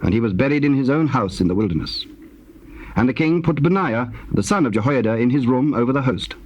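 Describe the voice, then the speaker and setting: Casting: male narrator for solemn scripture, mid-pitched and husky, with lively intonation. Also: fairly quick, muffled recording. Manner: formal storytelling.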